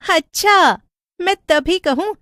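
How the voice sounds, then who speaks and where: Woman doing a high, sing-song sweet young girl. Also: animated, slow pace, bright, forceful tone, dry recording, clean studio sound, heavily acted. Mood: playful.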